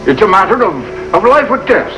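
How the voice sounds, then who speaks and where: An actor playing an earnest hospital chief of staff in a 1950s television drama, formal and emphatic in manner. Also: male voice, sits high, big pitch swings, a little fast, music in the background, poor audio. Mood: exasperated.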